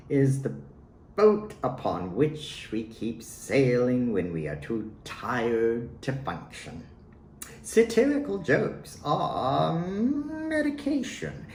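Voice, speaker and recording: A man reads his own poetry at a slow, deliberate pace, setting out short statements one by one. His pitch moves around freely from phrase to phrase. The room echoes around his voice, as in a home recording. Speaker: poet reading own work. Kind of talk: spoken poetry reading. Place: at home in a residence. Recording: roomy home recording with faint noise.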